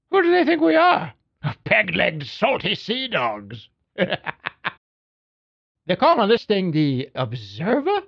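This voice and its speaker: A man's voice: sits high, lo-fi sound, exaggerated voice. A man playing a cocky, folksy video game mechanic who briefs a pilot with slangy wisecracks. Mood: grumbling, incredulous. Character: cocky, folksy spaceship mechanic who babies his mech suit. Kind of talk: video game character voicelines.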